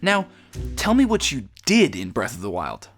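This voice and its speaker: A man's voice: medium pitch, wildly swooping pitch, moderate pace, faint music behind. An actor playing a jaded, argumentative video game fan, a young man speaking emphatically in a comedy sketch. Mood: explaining. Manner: opinionated, emphatic. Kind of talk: scripted comedic debate.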